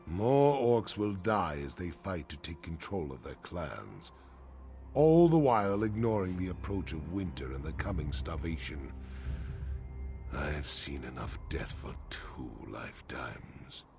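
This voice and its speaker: A man playing a weary, solemn orc chief in a fantasy game, speaking gravely in a deep, gravelly voice. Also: sing-song, a little slow, music in the background, full character voice. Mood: grim, sorrowful.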